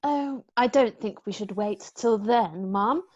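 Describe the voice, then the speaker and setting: A woman playing a brisk, unflappable, firm yet playful nanny, crisp and commanding, in a musical play read-through. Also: fairly high pitch, a little mellow, highs cut off. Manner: crisp, commanding, matter-of-fact.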